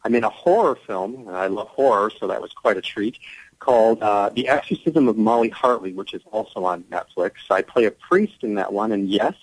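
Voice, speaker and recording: A man's voice: on the low side, lively intonation, a little fast, no room sound, low-quality recording. A man speaking as an actor guest in a conversational radio interview, explaining things earnestly.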